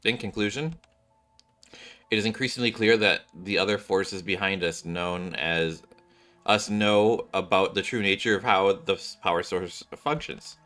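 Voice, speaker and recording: Bright-voiced man reading game script aloud. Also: deep voice, a little slow. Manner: stumbles and corrects himself while reading. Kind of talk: live read-along of game script. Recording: background music behind the voice.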